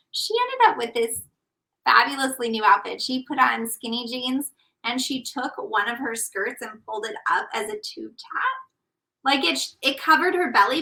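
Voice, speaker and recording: A woman podcast host tells a story with animated, casual warmth. Her voice has a sharp, bright edge and a slightly raised pitch. She sits a little away from the mic. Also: distant mic, rough recording. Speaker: podcast host and intimacy coach.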